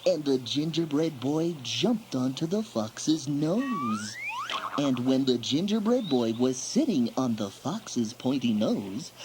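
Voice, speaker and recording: Slow, lively male children's storyteller. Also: mid-range voice, a little hiss or hum, rough recording. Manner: expressive, dramatic bedtime storytelling. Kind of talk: scripted children's story narration. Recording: music behind the voice. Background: music.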